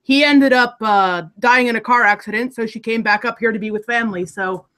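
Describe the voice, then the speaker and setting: A woman who grew up between Mexico and the United States, chatting casually and trailing off softly. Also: fairly high pitch, fairly quick. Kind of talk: casual chat.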